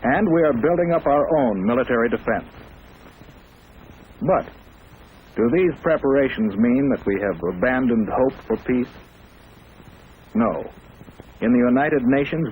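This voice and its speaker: A man's voice: medium pitch, animated, moderate pace, long pauses, darkish tone, husky, poor audio. A man with an American accent narrates a 1950s educational propaganda film in a formal, solemn, declarative manner, on an old film soundtrack.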